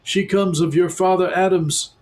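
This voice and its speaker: A man reading aloud and voicing an excitable, earnest male beaver who talks emphatically. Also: sits high, normal speed, voice pushed up, close to an everyday voice. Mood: eager.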